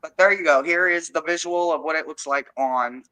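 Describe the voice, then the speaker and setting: A woman's voice: deep voice, fairly quick, sharp tone, low-quality recording. An older woman co-hosting a tarot live stream, playful and teasing. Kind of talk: casual live stream chat.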